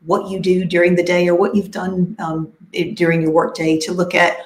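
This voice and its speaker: A woman's voice: on the low side, little pitch movement, moderate pace. A woman career coach talking enthusiastically and conversationally on a live video panel. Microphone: video call. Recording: echoey room.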